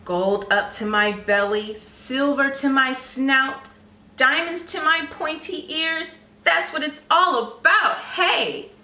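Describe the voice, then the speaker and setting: A woman's voice: high voice, slow, bright, forceful tone, heavily acted. A woman voicing a greedy, gleeful male hog in a storybook, gloating with stretched-out exclamations. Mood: gleeful greed. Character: greedy, gleeful male hog who wants all the treasure. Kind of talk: storybook read-aloud. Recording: roomy, echoey, slightly distant microphone.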